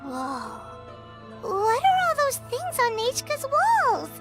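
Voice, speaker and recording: A woman voicing a small, earnest, excitable childlike companion in a video game, with a squeaky voice. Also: takes it slowly, exaggerated voice. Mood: curious, amazed. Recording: game audio with music behind.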